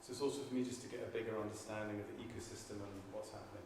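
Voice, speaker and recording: A man with a lowish voice introduces himself as a marketing advisor. He speaks thoughtfully and corrects himself mid-phrase. He is distant from the mic in a very echoey hall with room noise. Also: level tone, very fast. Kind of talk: casual self-introduction.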